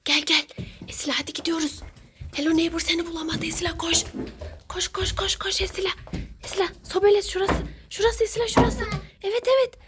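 A woman speaking as a mother in a playful, animated way, giving a child encouraging instructions during a game. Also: very high voice, quick pace, background noise. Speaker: mother playing hide-and-seek with child. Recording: loud music over the voice.

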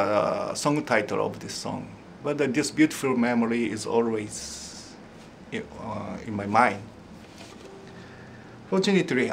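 A middle-aged man speaking slow, halting English with fillers and restarts, introducing a song from his living room at home. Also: medium pitch, vocal fry, a little hiss or hum. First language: not Tagalog. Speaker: ukulele vlogger. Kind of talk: casual spoken intro to a song. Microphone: camera in front at close range. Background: ukulele playing.

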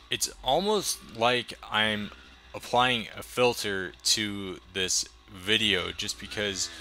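A young man talking casually over his riding footage as a motovlogger, relaxed and enthusiastic, a little rambling. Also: fairly low pitch, slight background noise.

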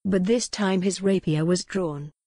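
A woman reads dramatic, scripted narration for a historical romance audiobook. Her voice sits a little low, and the recording is close and dry. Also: a little slow, mellow, no room sound.

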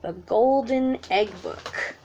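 A woman with a high voice gives a storytime read-aloud for young children. She takes her time and explains things simply, in the expressive way of a children's teacher. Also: takes it slowly, highs cut off, slight background noise. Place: small room at home, indoors.

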